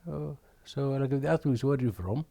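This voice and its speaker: An older man from Aden, Yemen, slowly recalling memories in an oral history interview, with many pauses and restarts. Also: medium pitch, vocal fry, dark tone.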